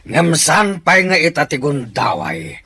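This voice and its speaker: A man playing a cruel, gloating chieftain of a rival tribe in a drama, taunting and cackling with drawn-out menace. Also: on the high side, very animated, noisy background. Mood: taunting, menacing.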